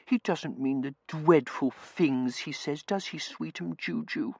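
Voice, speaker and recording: A man's voice: a little high, unhurried, creaky, darkish tone, dry recording, voice pushed up. A man voicing a spoiled, gushing young Victorian woman in an audiobook, dramatic and babyishly cooing. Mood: coaxing, cooing. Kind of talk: audiobook character voice.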